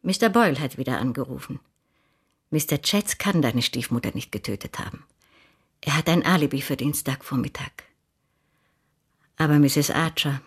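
An actress playing a composed, caring older woman in a radio drama, with a husky voice. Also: extremely low pitch, normal speed, long pauses. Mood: measured, grave.

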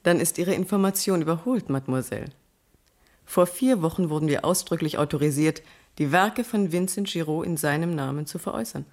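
An actress playing a formal, coolly superior gallery owner in a 1980s German radio crime drama. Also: female voice, low voice, a little fast, a little mellow. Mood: haughty. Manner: formal, precise.

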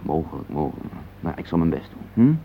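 Brisk, low-voiced businessman husband. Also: expressive, gravelly, slightly rough, heavily acted. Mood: impatient, dismissive. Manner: brisk, patronising, full of tag questions. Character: busy businessman husband, dismissive, impatient, placating. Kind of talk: radio play acting. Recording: dull lo-fi radio play recording.

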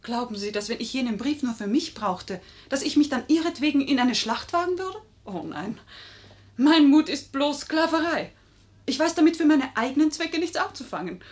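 A charming, wily lady in a vintage 1950s radio drama. Her voice is fairly high and emotive, with drawn-out theatrical emphasis as she pleads and flatters. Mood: impassioned. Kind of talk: 1950s German radio play acting.

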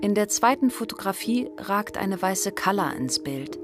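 A woman's voice: mid-range voice, lively intonation, measured pace, over music, natural voice. A woman playing a detached, precise narrator in a scripted literary radio play. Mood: measured, observing. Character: detached female narrator describing scenes precisely.

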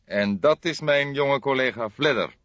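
A man's voice: medium pitch, fairly bright tone, dry recording, highs cut off, voice pushed up, close to an everyday voice. A man voicing an old police detective in an audiobook, courteous and formal but insistent, with restrained impatience. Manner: deliberate, formal, spelling things out carefully.

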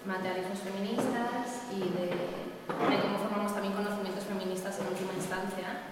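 Woman curator, flat delivery, hesitant and halting. Distant mic in a noisy, reverberant hall. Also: mid-range voice. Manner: halting, full of pauses and restarts. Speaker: seminar curator and moderator. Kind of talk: introducing a seminar session. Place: seminar room. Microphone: distant room microphone. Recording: echoey hall from far off, noisy.

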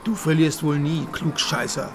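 A man's voice: a little high, steady tone, normal speed, close to an everyday voice. Man as grumbling greedy gambler, coarse, mocking, colloquial, music behind, radio drama. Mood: irritated, mocking. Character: surly, grumbling, greedy slot machine gambler. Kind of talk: radio play acting.

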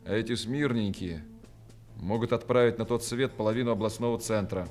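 Man playing a gruff, commanding army major. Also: lowish voice, brisk, darkish tone, soft background music, lightly acted. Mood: weary, brisk. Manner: commanding, grumbling, exasperated. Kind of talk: radio play acting.

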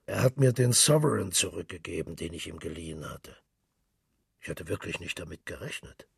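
A man voicing a troubled, hesitant husband in a story, restrained and hiding his irritation, with a husky, gravelly voice. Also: low-pitched, moderate pace, dry recording, clean studio sound, full character voice. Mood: forced casual. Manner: restrained, forced casualness.

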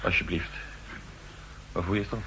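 An actor playing an anxious, excitable aide to a spy chief, speaking with urgent concern in a 1960s radio drama. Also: male voice, low voice, normal speed, low-quality recording. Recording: hissy 1960s radio recording.

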